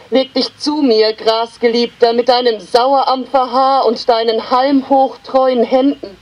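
A woman with a high voice reads poetry aloud at a slow pace. Her phrasing is measured and deliberate, as in a literary reading, with space left between the short lines. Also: darkish tone, rough recording. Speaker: poetry reader.

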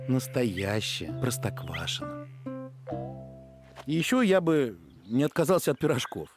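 Актёр озвучивает дружелюбного и любопытного гостя-отпускника в мультфильме, говорит вежливо, часто с вопросом. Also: male voice, mid-range voice, close to an everyday voice. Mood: sincere. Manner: polite, eager, questioning. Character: friendly, curious male celebrity visitor on holiday. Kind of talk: animated cartoon voice acting. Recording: music behind the voice.

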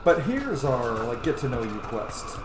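A man's voice: mid-range voice, very animated, a little slow, background noise. A man in his thirties giving enthusiastic, opinionated and casual commentary as he plays a video game. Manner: enthusiastic, opinionated, swears freely. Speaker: gaming let's player. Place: small room at home, indoors. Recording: roomy home recording under game audio. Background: game music.

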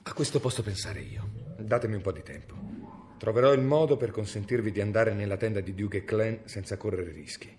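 An actor in an Italian radio drama playing a cold, cunning medieval inquisitor with a measured, formal, severe delivery. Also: male voice, fairly low pitch, a little mellow, lightly acted. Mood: cold, calculating. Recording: noisy radio drama recording.

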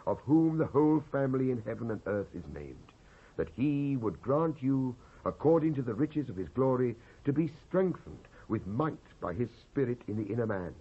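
A British man giving a solemn, declamatory dramatised scripture reading in measured phrases with pauses. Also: medium pitch, sing-song, breathy edge. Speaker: Bible reader, actor. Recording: dull lo-fi cassette transfer.

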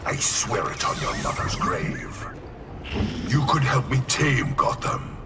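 Masked brute villain, gravelly, slow, widely swinging pitch, menacing, formal, video game, loud music behind. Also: male voice, very animated, heavy background noise. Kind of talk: video game character acting.

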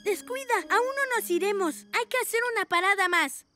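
Very high little girl superhero. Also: animated, fairly quick, pushed and bright, heavily acted. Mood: reassuring. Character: caring, determined six-year-old girl superhero. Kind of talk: animated cartoon dub. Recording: music underneath.

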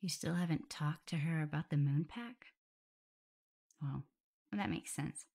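Woman acting low, creaky, shy young werewolf caregiver. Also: unhurried, full character voice. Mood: gentle, curious. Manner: hesitant, stammering, soft, breathy whispers at times. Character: shy, caring, self-doubting young omega werewolf woman tending a sick alpha. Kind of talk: intimate ASMR roleplay audio.

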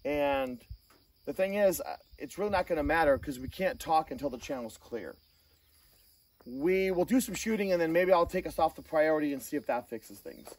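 A man's voice: a little high, animated, normal speed. Distant, casual male gear reviewer outdoors. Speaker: host of a gear review channel. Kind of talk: casual hands-on product review talk. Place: outdoor shooting range. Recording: distant mic, outdoor noise, insect chirps.

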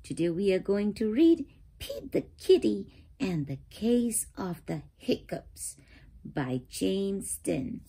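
A woman's voice: medium pitch, big pitch swings, slow, warm tone, slightly rough. A woman reading a children's picture book aloud expressively, putting questions to the listener. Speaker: read-aloud channel host.

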